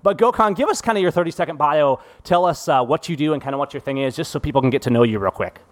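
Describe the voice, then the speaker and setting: Male podcast host, slightly raised pitch, upbeat and self-deprecating, keeps a loose panel chat moving. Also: animated, quick pace. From Central Washington. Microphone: studio podcast mic.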